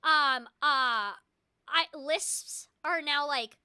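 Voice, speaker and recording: A woman's voice: high-pitched, very slow, pushed and bright, no room sound, studio quality. A woman voice actor hosting a casual stream, chatting loosely with frequent restarts and a noticeable glottal stop, on a headset mic at home.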